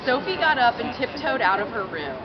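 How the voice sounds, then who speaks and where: High-voiced woman reading to a crowd. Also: very animated. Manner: declaiming, pausing after each short phrase. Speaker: reader at a public read-in. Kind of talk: reading a novel aloud in short phrases for a crowd to repeat. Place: outdoors at a public campus gathering. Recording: dull outdoor recording with loud crowd. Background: loud crowd, urban outdoor noise.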